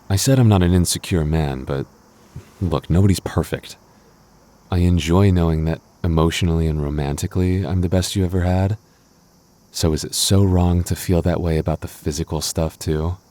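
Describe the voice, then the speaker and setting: A man playing a clingy, jealous young boyfriend in an intimate roleplay audio, talking casually and haltingly, prone to stammering when flustered. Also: deep voice, warm tone. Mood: flustered, insecure.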